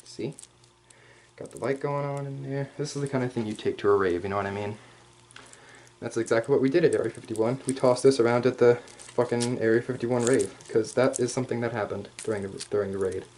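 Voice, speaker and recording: A man voicing a male hyena furry commander in a casual, rambling, playfully cocky ASMR roleplay, speaking straight to the listener with slangy asides and hesitant pauses. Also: medium pitch, steady tone, a little mellow, close to an everyday voice, low-quality recording. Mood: casual, boastful. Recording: clear background noise.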